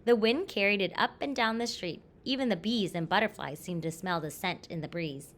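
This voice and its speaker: A woman reading a children's picture book aloud expressively, with deliberate phrasing and stressed words. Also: medium pitch, fairly bright tone, a little hiss or hum. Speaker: storybook reader.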